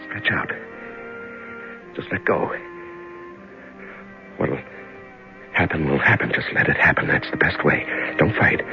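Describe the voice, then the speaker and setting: A terrified prisoner in the dark. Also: male voice, low voice, takes it slowly, gravelly, pauses often, heavily acted. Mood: hushed soothing. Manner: shifts from panic to hushed, fragile self-calming. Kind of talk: 1950s radio drama monologue. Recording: muffled old radio broadcast with faint music.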